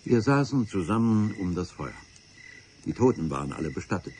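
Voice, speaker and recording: A man's voice: fairly low pitch, sing-song, moderate pace, a little mellow, slight background noise. A man playing a grave adventurer narrating his memoir in a radio play, in a literary, mock-solemn manner.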